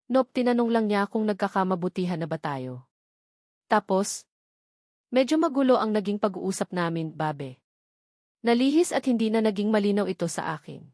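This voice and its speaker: A woman's voice: mid-range voice, expressive, moderate pace, long pauses, darkish tone, dry recording, clean studio sound, lightly acted. A woman with a Filipino accent voicing an ardent young man wooing his secret lover in a romance audiobook. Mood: reassuring.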